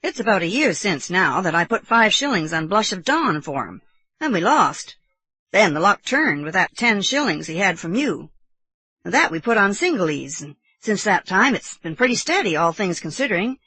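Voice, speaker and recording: Woman as a respectful, husky English serving man. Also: mid-range voice, very animated, moderate pace, sharp tone, no echo, exaggerated voice. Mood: confiding, deferential. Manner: respectful, secretive. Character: deferential, loyal English working man, confiding.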